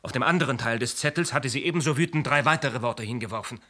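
A self-righteous murderer in a radio drama. His mid-pitched voice is bright and tense. He states his case formally and deliberately, with no remorse. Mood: grave, controlled. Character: self-righteous male insurance agent coolly confessing to murder. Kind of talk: German radio play acting.

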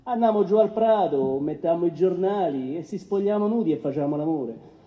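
Aggrieved young defendant, central Italian accent. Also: male voice, high-pitched, lively intonation, fairly quick, dull audio. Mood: brazen. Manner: colloquial, insistent. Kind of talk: courtroom docudrama acting.

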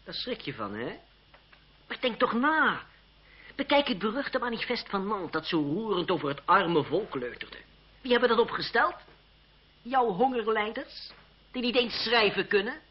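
A lively, urbane cynical young lawyer. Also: male voice, sits high, normal speed, husky, heavily acted. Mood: scornful, insistent. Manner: ironic, urbane, condescending. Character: cynical, witty young French lawyer of the revolutionary era. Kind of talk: radio drama acting. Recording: muffled, hissy old radio drama recording.

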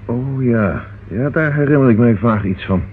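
An actor playing a courteous police inspector in a Dutch radio drama, asking calm, probing questions. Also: male voice, medium pitch, moderate pace, slightly rough. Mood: courteous, attentive. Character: police inspector, courteous, tender with his sweetheart. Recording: muffled, noisy old radio recording.

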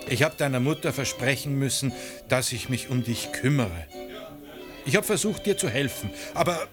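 Radio play actor as exasperated fatherly man, earnest, preachy, lively intonation over music. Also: medium pitch, moderate pace, lightly acted. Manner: pleading, moralising. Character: earnest, honest, fatherly male guardian, exasperated. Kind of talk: 1980 German radio drama acting.

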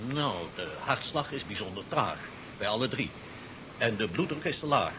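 An actor playing a measured, deferential ship's doctor in a 1970s science fiction radio play. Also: male voice, medium pitch, expressive, lightly acted. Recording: dull, noisy 1970s radio drama recording.

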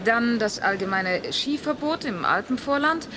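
A brisk conservation official. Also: female voice, a little high, lively intonation, background noise. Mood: matter-of-fact. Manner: matter-of-fact, brisk. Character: female Berlin conservation official, brisk bureaucrat. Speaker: government official.

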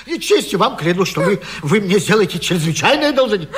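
An actor playing an elderly jealous husband in a radio vaudeville, stammering, apologising and correcting himself in a flustered, grovelling way. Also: male voice, sits high, sing-song, a little fast, fairly bright tone. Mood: panicked, grovelling. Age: older. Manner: stammering, babbling, self-correcting. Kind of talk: theatrical vaudeville radio comedy acting.

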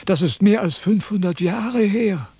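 A haughty philosopher of ancient China in a theatrical radio play. His voice sits somewhat high and mellow, with a husky edge in a dry studio. He lectures with pompous impatience and condescension. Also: animated, a little slow, no echo, heavily acted. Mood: lecturing, intrigued. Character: pompous, self-important male Chinese sage, condescending and impatient. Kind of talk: theatrical radio drama dialogue.

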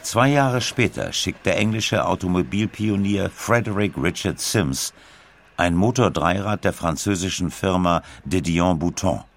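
A man reading scripted documentary narration in a measured, clearly articulated way. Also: sits low. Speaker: audiobook narrator.

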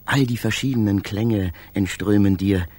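An actor playing a dreamy, fervent poet-inventor and space traveller in a literary radio drama, with a theatrical, poetic delivery. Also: male voice, lowish voice, moderate pace, a little mellow, slightly rough. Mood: rapt, marvelling.

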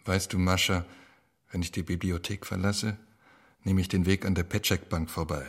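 Deep-voiced, stern middle-aged father. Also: level tone. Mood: quiet, troubled. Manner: deliberate, authoritative, emphatic. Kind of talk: radio drama acting.

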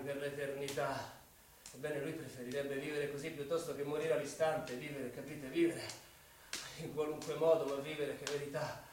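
An actor playing a tormented, proud young former student, brooding and obsessive, in Italian radio theatre. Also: male voice, fairly high pitch, natural voice. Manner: brooding, obsessive, repeats words. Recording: echoey room, distant mic, noisy.

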